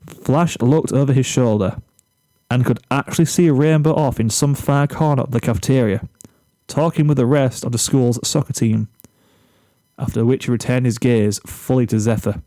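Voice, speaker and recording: A man narrating a scripted story in a measured, deliberate way, pausing between phrases. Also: medium pitch, fairly even intonation, mellow, no echo, poor audio. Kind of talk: scripted fan fiction narration, storybook reading.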